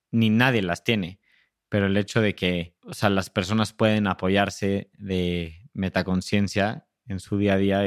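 Low-voiced male podcast host. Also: no echo, studio quality. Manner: relaxed, thoughtful questions with filler phrases. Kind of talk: casual interview.

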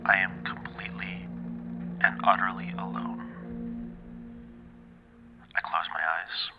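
An actor playing a frightened, lost man in an intimate audio fiction monologue, speaking in halting, breathy fragments over noisy ambience. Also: low-pitched, flat delivery, muffled recording, over music. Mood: numb, isolated.

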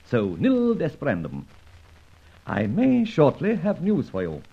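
Gentleman adventurer: higher-set voice, wildly swooping delivery, urbane and resolute, old radio serial sound. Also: huge pitch swings, a little slow, creaky, husky, exaggerated voice. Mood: assured, teasing. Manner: breezy, urbane, emphatic, old-fashioned turns of phrase. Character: suave, self-assured gentleman adventurer, playful and resolute. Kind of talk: old-time radio drama acting. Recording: dull, lo-fi old radio recording.

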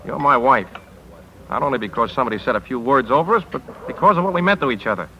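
A sardonic newspaper editor, quick and forceful, with clipped, wry delivery. He sounds stubborn and insistent, in 1950s radio drama style over faint hiss. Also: mid-range voice, lively intonation, dull audio. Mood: pleading, insistent.

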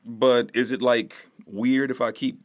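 A man's voice: medium pitch, steady tone, measured pace, close to an everyday voice. A man playing an earnest, upright newcomer and pious hero in a fantasy audio drama, sincere and slightly awkward.

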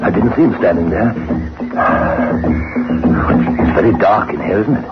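A man's voice: fairly low pitch, measured pace, natural voice. An actor playing a nervous, hesitant visitor in a 1960s radio drama, stammering uneasily as he asks his questions. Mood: nervous, startled. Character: nervous, hesitant male visitor seeking a witch doctor. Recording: muffled old radio recording with music.

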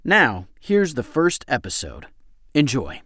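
A man's voice: mid-range voice, wildly swooping pitch, slow pace, fairly bright tone, no room sound, studio quality. A man hosting a podcast, reading a scripted recommendation in a conversational way that addresses the listener directly. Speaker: podcast host.

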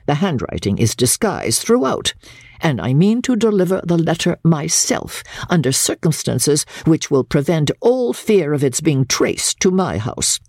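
A woman voicing a haughty, cold, self-righteous widow in a dramatic reading of a classic novel, husky, stern, precise and formal. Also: deep voice, a little mellow, no room sound, studio quality, full character voice. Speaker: audiobook reader.

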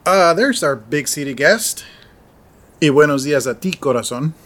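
An actor playing a proud, teasing middle-aged farm owner in an audio drama. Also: male voice, on the high side, animated, moderate pace, fairly bright tone. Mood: warm welcoming. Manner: teasing, expansive, fond. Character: Salvador, proud, playful older farm owner and father.

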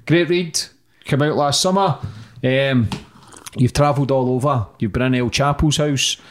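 A man's voice: medium pitch, moderate pace, a little hiss or hum. A man hosting a casual interview, matey in manner, calling his guest brother and mate. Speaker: podcast host.

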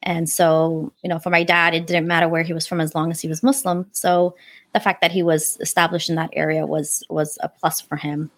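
A woman's voice: low voice, flat delivery, fairly quick, no room sound. A woman in her forties, born in Afghanistan and raised in New York, telling her story as a podcast guest in an earnest, reflective way. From born in Afghanistan, raised in New York City. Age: 40s. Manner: earnest and reflective, religious phrases, frequent fillers. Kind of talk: personal storytelling in conversation.

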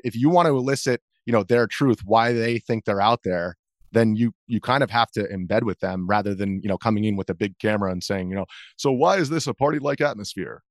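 A man's voice: on the low side, dry recording, studio quality. An American man, an interview guest and journalist, recounting his experiences in a casual conversational style.

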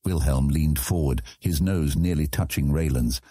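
Deep, husky male audiobook narrator. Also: warm tone, dry recording, studio quality. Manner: measured, dramatic storytelling.

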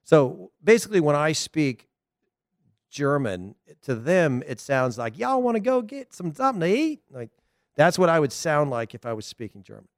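A middle-aged man with a Southern American accent, hosting a casual soccer talk show, chatty and animated with frequent laughs. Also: on the high side, moderate pace, dry recording, studio quality. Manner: chatty, animated, laughs often, loose restarts. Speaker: soccer podcast host. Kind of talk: casual solo commentary.

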